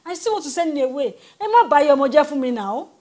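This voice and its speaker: A woman's voice: high voice, big pitch swings, quick pace, a little mellow. A woman playing a tearful praying Nigerian mother. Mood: worried pleading. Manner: fervent tearful prayer in tongues. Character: devout anxious mother praying for son. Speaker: mother of a wayward son. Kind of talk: Nollywood film acting.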